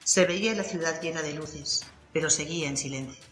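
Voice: medium pitch, a little fast, a bit bright, roomy sound, slight background noise. A narrator reading a scripted crime story for an audiobook.

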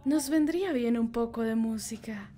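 Woman as a mellow, high-voiced, weary lady cat. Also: moderate pace, natural voice. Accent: Latin American. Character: elegant, weary female speakeasy owner cat. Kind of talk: animated fan dub acting.

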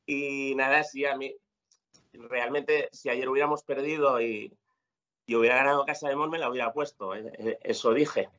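A Spanish man on a video call, halting and restarting as he explains himself. His voice sits at an ordinary middle pitch. The call audio is slightly dull and lo-fi. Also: fairly even intonation, a bit bright. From Spain, born in Zaragoza, raised in Girona. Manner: hesitant, many restarts and fillers. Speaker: guest podcaster. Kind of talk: casual chat on a video call.

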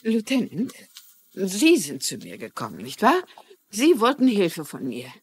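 Eine Schauspielerin spielt eine kluge, selbstsichere Amateurdetektivin mittleren Alters in einem synchronisierten Fernsehkrimi, bestimmt und logisch argumentierend. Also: female voice, medium pitch, wildly swooping pitch, a little slow, breathy edge, heavily acted. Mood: insistent. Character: shrewd, self-assured middle-aged lady sleuth. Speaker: lead actress. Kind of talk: German-dubbed TV mystery dialogue.

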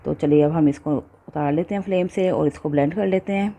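A woman's voice: low-pitched, brisk, dark tone. A woman hosting a home cooking video, explaining each step to her viewers in a friendly, patient way. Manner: friendly, addresses viewers often, mixes in English words. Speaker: cooking channel host. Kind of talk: step-by-step recipe voice-over.

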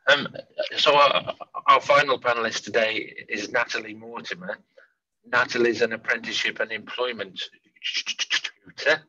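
A man's voice: fairly low pitch, bright, forceful tone. A man in his forties hosting a panel webinar over a video call, encouraging his guests with brief restarts. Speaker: webinar host. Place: home room, indoors. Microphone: earpiece headset on a video call. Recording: dull, dry video call audio.

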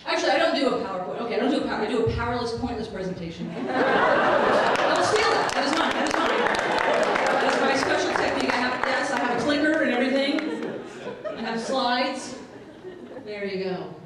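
A woman's voice: sits high, a little fast, over a noisy crowd. A middle-aged woman doing a playful, self-mocking stand-up set on stage with a handheld mic, chatting with the audience. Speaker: stand-up comedian and speaker. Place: stage in a large room with a live audience. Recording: roomy, distant, with audience laughter.

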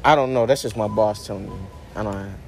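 A man's voice: fairly low pitch, fast talker, creaky, soft background music. An American man talking casually, posing as a worker in a store. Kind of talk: casual spoken exchange. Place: inside a large store.

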